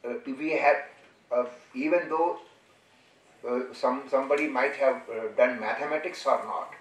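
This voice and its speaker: A man with an Indian accent giving a formal lecture in an earnest, discursive and halting way. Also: mid-range voice. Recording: echoey room, distant microphone.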